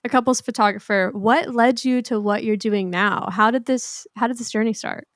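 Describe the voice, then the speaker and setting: A woman's voice: a little high, fairly even intonation, moderate pace, dry recording, clean studio sound. Gushing young woman podcast host. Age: 20s. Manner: gushing, rambling, enthusiastic. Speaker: podcast host, wedding photographer. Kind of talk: casual interview chat.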